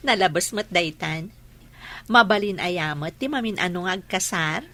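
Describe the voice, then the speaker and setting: A woman's voice: fairly low pitch, sing-song, measured pace, pushed and bright, slight background noise, exaggerated voice. A woman playing an affectionate young woman being courted, acted in the style of a radio comedy drama. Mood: earnest, hesitant.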